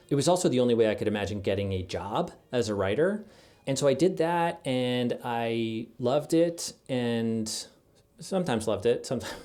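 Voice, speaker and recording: A middle-aged man with an average-pitched voice, talking as a guest in an interview. His delivery is casual and reflective, thinking things through in an easy conversational style. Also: darkish tone. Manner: thoughtful, conversational. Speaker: podcast guest, journalist and writer.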